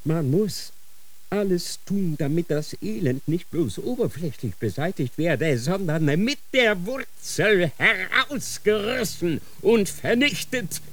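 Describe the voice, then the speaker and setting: A dying old Grand Inquisitor dictates his last decree in a radio play. He is feverish and repentant, his voice set a little high, and he speaks very slowly and solemnly. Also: animated, a bit bright, exaggerated voice. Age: older. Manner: slow, solemn dictating with sudden feverish outbursts. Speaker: actor in a radio drama. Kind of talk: radio drama acting.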